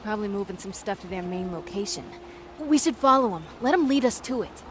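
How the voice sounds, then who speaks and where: A woman's voice: medium pitch, expressive, brisk, noisy background, natural voice. An actress playing a scrappy, wry young runaway woman in a video game, speaking informally. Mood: dry, urgent.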